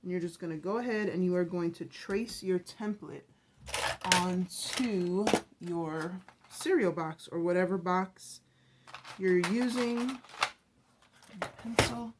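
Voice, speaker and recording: This is a woman with a slightly low, scratchy early-morning voice, talking casually through a craft project. She chats and rambles, often starting a sentence over before she finishes it. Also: takes it slowly. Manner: chatty, rambling, self-correcting. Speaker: craft channel host.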